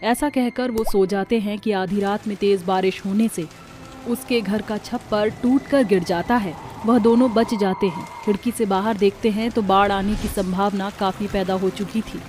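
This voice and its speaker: A woman's voice: medium pitch, steady tone, normal speed, a little mellow, soft background music. A woman narrating a scripted story as the storyteller.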